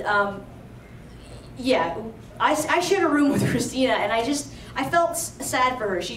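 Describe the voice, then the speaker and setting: A woman's voice: fairly high pitch, far from the mic, a little hiss or hum. A young woman from Virginia telling a true story to a live audience, chatty and conversational. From Alexandria, Virginia, USA. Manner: chatty and conversational, full of "like" and "you know". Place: live storytelling event in a roomy venue. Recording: roomy live venue, voice from off-mic.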